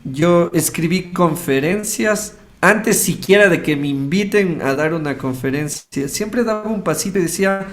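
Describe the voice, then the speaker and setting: Higher-voiced Ecuadorian man telling his story. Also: measured pace, hardly any pauses, fairly bright tone. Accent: Ecuadorian Spanish. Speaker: radio host interviewed as guest. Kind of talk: casual personal testimony, storytelling.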